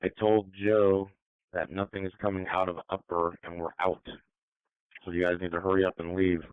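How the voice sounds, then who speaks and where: A man's voice: low-pitched, little pitch movement, normal speed, clean tone, dry recording. A man speaking in a plain, directive way over a police two-way radio. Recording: dry phone-like scanner radio.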